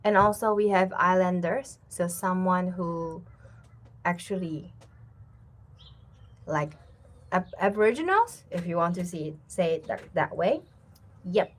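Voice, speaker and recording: Slow, chatty Taiwanese woman. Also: fairly low pitch. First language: Mandarin Chinese. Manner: relaxed and chatty, with many fillers and repeated words, laughing often. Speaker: language teacher and channel host. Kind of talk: casual chat to camera.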